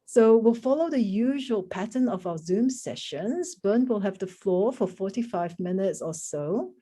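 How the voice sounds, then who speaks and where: A woman's voice: mid-range voice, lively intonation, unhurried, mellow, husky. A woman hosting an online session, giving an informal introduction with hesitant asides. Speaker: session host, moderator. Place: video call.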